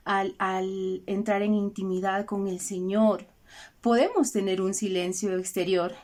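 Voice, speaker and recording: Gentle Peruvian woman hosting a faith program. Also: medium pitch. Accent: Peruvian Spanish. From Peru. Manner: gentle, addresses listeners directly, slows for emphasis. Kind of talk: reflective spiritual talk with readings.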